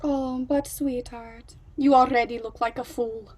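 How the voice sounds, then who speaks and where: A woman's voice: a little high, steady tone, unhurried, darkish tone, no echo. A woman playing a cool, taunting female spy in a theatrical comedy script read.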